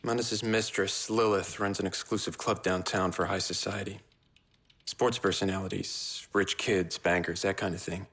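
Composed, calculating young half-demon. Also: male voice, deep voice, little pitch movement, normal speed, fairly bright tone, slight background noise. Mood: cool briefing. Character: calculating, coolly pragmatic brother, young half-demon man. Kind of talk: video game cutscene acting.

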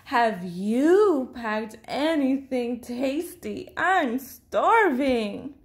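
A woman's voice: high-pitched, lively intonation, very slow, full character voice. Lady doing a dramatic whiny little boy bird from a picture book, starving and fussy, voice swooping all over, drags words out. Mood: disgusted, complaining. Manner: melodramatic, complaining, exclaiming.

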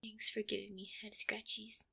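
A woman's voice: medium pitch, level tone, moderate pace, creaky, breathy edge, full character voice. Woman acting a shy, affectionate catgirl girlfriend. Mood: flustered, shy. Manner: hesitant, soft-hearted, flustered. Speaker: roleplay audio performer. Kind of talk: intimate one-sided roleplay audio acting.

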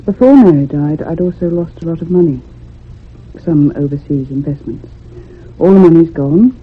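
An actress playing a depressed, lonely woman writer in her thirties, speaking in a measured, confiding in a radio drama. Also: low-pitched, expressive, husky. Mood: downcast, confiding. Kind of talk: radio drama acting and first-person narration. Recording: muffled lo-fi old radio recording.

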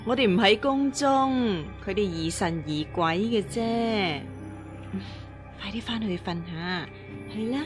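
An actress playing a scheming, regal empress dowager with a measured, dismissive manner, in Hong Kong Cantonese radio drama. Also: female voice, medium pitch, over music, close to an everyday voice. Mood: placating. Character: haughty, scheming empress dowager, mother of a princess.

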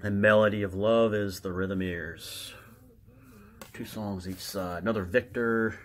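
A man giving casual, unscripted show-and-tell commentary as a collector, halting and muttering to himself as he reads aloud. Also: lowish voice. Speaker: record collector showing his records.

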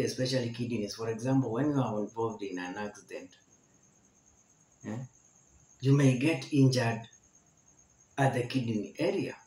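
A man in his forties teaching a health lesson to camera, slowly repeating each point to explain it. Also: medium pitch, a little mellow. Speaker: medical educator. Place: indoor room with barred window. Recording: echoey room.

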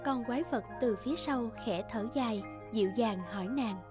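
Female storyteller for animated fairy tales, high voice, clear music bed underneath. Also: normal speed. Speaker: narrator. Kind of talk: scripted fairy-tale narration.

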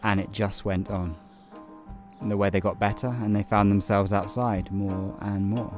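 A man narrating an audio play in a calm, measured and wry way. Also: lowish voice, soft background music. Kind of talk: scripted narration. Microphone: home recording booth.

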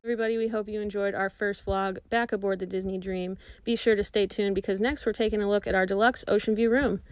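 A woman's voice: mid-range voice, steady tone, quick pace. A woman in her thirties, a vlog host, narrating her trip in an upbeat, explanatory way.

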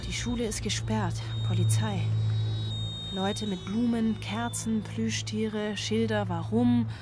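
A woman's voice: mid-range voice, steady tone, takes it slowly, noisy background, close to an everyday voice. An actress in a radio drama playing a harried, strict single mother with a clipped, curt delivery. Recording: loud music under the voice.

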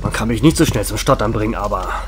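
An actor playing a gruff, rattled small-town sheriff in a German horror radio play, commanding and blunt. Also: male voice, fairly low pitch, expressive, quick pace, background noise, close to an everyday voice. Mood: grim, subdued. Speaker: actor in an audio drama.

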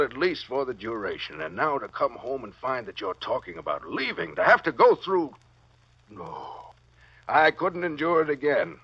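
A middle-aged American head of household, flustered and pleading. His fairly high voice swings widely as he wheedles and stammers. The sound is a dull, dry old radio recording. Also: slightly rough, dry recording, exaggerated voice. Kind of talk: 1940s radio acting. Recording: muffled vintage radio recording.

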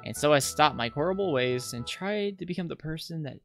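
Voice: mid-range voice, expressive, fairly quick, fairly bright tone. A streamer reading a visual novel aloud as narration, stumbling now and then over the text. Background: background music.

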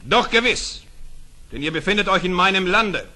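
Stern fairy-tale sultan, really sharp high voice, declaiming like old radio drama. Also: male voice, lively intonation, moderate pace, full character voice. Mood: stern, commanding. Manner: declamatory, forceful delivery. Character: imperious, stern sultan with commanding, righteous temper. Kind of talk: vintage fairy-tale radio play acting.

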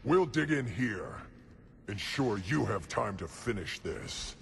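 An actor playing a boastful, battle-hardened alien warrior commander, gruff and blunt, in a game cutscene. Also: male voice, lowish voice, very animated, takes it slowly, slight background noise, exaggerated voice. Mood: gruff bravado. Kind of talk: video game cutscene acting.

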